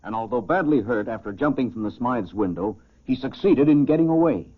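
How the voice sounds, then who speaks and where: A formal police chief recounting a case in 1940s radio narration. His mid-pitched voice comes through thin, tinny vintage broadcast sound. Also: lively intonation, a little fast, slightly rough. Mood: measured. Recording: tinny, band-limited 1940s radio recording.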